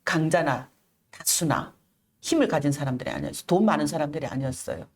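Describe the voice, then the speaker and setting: A middle-aged woman speaks in an interview, her voice sitting a little low. She talks in an earnest, measured way, with frequent pauses and careful, deliberate pronunciation. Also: lively intonation, darkish tone. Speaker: interview guest, activist. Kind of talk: reflective personal storytelling in an interview.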